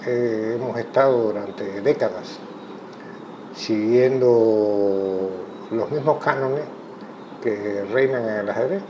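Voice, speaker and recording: Cuban man, measured and hesitant. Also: on the low side. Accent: Cuban Spanish. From Cuba. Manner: measured, thoughtful, many hesitations. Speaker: chess coach. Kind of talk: reflective interview answers. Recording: muffled audio with clear background noise.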